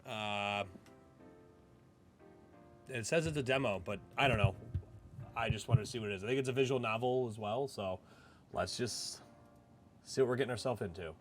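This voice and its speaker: A man's voice: mid-range voice, moderate pace, pauses often. A man hosting a gaming channel, reading a visual novel aloud as a storyteller. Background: game music.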